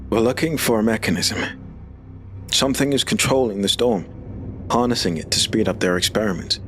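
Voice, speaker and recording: Guy doing a strange calm dude, even-toned, a bit higher than the narrator, music behind. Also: fairly quick, close to an everyday voice. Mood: urgent. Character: calm, eccentric man hunting a mechanism.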